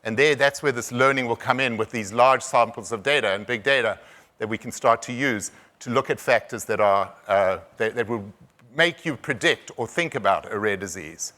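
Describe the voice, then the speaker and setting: A man with a South African accent giving a conference presentation, halting, with frequent restarts and repeated words. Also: medium pitch, bright, forceful tone. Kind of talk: conference talk.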